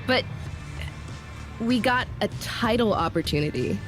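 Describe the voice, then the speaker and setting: A woman playing a cocky, excitable young wrestler in a video game cutscene, with playful emphasis. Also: on the high side, unhurried, over music. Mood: unsure, then fired up.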